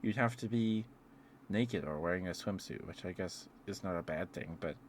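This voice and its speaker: Guy with a lowish voice doing rambly asmr stream chat, hesitant thinking out loud, bit of background noise. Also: moderate pace. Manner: hesitant, musing aloud with trailing thoughts.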